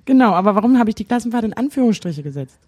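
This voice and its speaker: A woman's voice: a little high, lively intonation, very fast, a little mellow, breathy edge, no echo, studio quality. A woman co-hosting a casual chat show. She reads from her old diary, hesitating with many fillers and laughing often. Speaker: podcast co-host.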